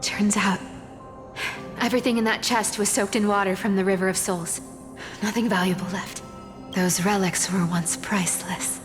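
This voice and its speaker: A proud, guarded trickster woman from a video game, played by an actress. Her medium-pitched delivery is knowing and theatrical, carrying a world-weary edge. It rings with long reverb over clear music. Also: steady tone, normal speed, natural voice. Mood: resigned.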